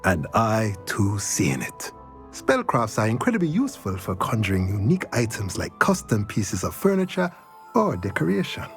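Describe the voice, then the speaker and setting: An actor playing a courteous, enthusiastic magic-shop proprietor in a video game, speaking in a measured, savoring sales manner. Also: male voice, fairly low pitch, huge pitch swings, faint music behind. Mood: helpful, enthusiastic. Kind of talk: video game character acting.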